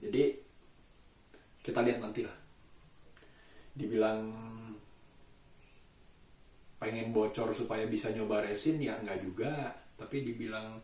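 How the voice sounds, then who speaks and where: A man chatting casually to a vlog camera, halting and thoughtful as he searches for words. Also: lowish voice, fairly even intonation, darkish tone. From Indonesia. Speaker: vlogger. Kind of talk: casual daily vlog monologue. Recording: roomy echo, distant mic.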